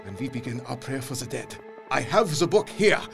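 A man's voice: medium pitch, sing-song, moderate pace, vocal fry, music in the background. A man playing a solemn, fatherly older professor in a radio play, speaking in a grave, emphatic, rhetorical way. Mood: grave, urging.

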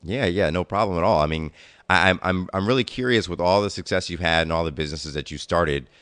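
A man's voice: deep voice, quick pace, a bit bright, clean tone, no echo. A man hosting his podcast, reading a guest introduction and then asking questions with some stumbling. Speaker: podcast host.

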